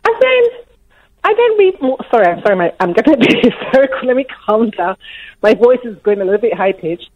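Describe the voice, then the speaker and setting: A woman's voice: a little high, very animated, fairly quick. A middle-aged woman with a Nigerian accent calls in to a radio show. She is upset and emotional, repeating herself and restarting her sentences as she talks. Her voice comes through a muffled phone line. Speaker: caller.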